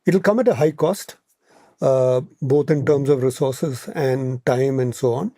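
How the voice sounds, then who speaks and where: A man's voice: medium pitch, measured pace, warm tone, no room sound, studio quality. A man with an Indian accent speaking as an expert podcast guest, explaining point by point in conversation and often checking in with "right?".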